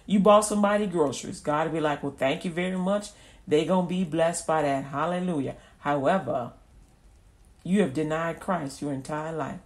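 A woman's voice: on the low side, darkish tone. A woman preaching an informal radio sermon, folksy and repetitive, acting out little scenes as she goes.

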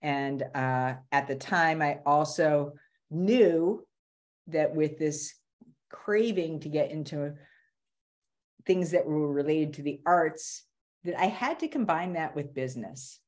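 A middle-aged woman with a notably low voice speaks on a video call from a room at home. She is a career strategist in casual conversation, animated and quick to restart a phrase. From Boston area, USA. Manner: animated, restarts and repeats words. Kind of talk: casual interview talk.

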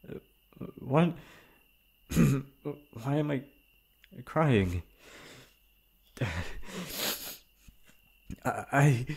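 A man playing a remorseful, self-loathing young prince in a formal, halting audio roleplay monologue. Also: medium pitch, a little mellow, pitched above the performer's own voice, exaggerated voice. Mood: tearful, shaken. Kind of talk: dramatic ASMR roleplay monologue.

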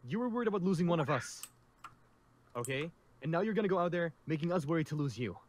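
A man's voice: fairly high pitch, lively intonation, quick pace, close to an everyday voice. A man playing a level-headed, peacemaking survivor in an improvised zombie roleplay, reasoning and placating. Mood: earnest.

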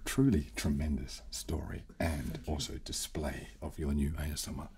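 A man in his thirties hosting in soft-spoken ASMR chat, close to a studio microphone. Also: extremely low pitch, fairly even intonation, moderate pace, a little hiss or hum. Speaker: channel host. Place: small room, indoors. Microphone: close studio condenser microphone.

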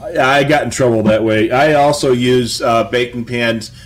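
A man's voice: mid-range voice, steady tone, moderate pace, poor audio. A middle-aged man with an American accent, co-hosting from a home office in a casual, chatty style with practical tips. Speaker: co-host of a police scanner show. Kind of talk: casual chat with tips and anecdotes.

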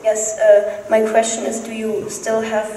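A woman's voice: fairly high pitch, monotone, measured pace, warm tone. A woman in the audience asking a question at a convention. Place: large convention hall. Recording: echoey noisy crowded hall, distant.

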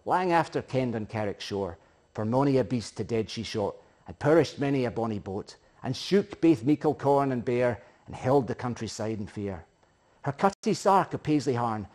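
A middle-aged Scottish man recites narrative verse in Scots like a practised storyteller. His voice sits at a medium pitch. The delivery is theatrical and emphatic, moving between hushed phrases and bigger, rousing ones. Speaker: poetry reciter. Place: living room, indoors.

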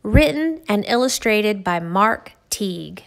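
A woman reading a children's storybook aloud with expressive, deliberate, theatrical phrasing. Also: mid-range voice, creaky. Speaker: storybook reader.

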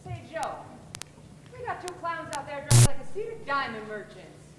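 Echoey, high-voiced sassy diner waitress, played by a woman. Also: very animated, normal speed, over loud music. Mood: puzzled. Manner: sassy, scolding, folksy talk. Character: feisty bossy diner waitress, protective girlfriend. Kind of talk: amateur stage play acting. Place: theatre stage, far camera. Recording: echoey theatre hall from far off, noisy.

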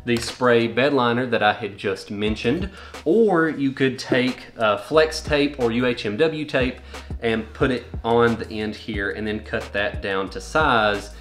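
A man's voice: mid-range voice, measured pace, a bit bright. Young man explaining gym gear in echoey garage. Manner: practical, matter-of-fact demonstrating. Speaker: home gym channel host. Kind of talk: casual how-to explanation. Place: indoor garage home gym. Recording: echoey room with faint music.

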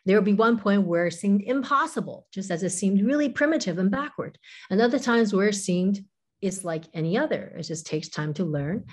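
A woman in her forties speaks as a guest scholar over a video call from a room at home. Her voice sits somewhat low, and she explains ideas thoughtfully, often hedging as she goes. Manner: thoughtful, explanatory, hedges with "kind of" and "you know". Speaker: professor and author, guest. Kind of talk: interview conversation.

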